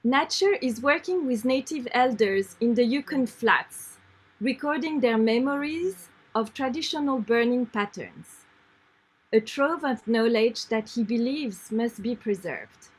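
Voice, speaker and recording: A woman reading an article aloud in a measured, unrehearsed way, repeating phrases when she stumbles. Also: on the high side, lively intonation, husky, rough recording. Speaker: reader.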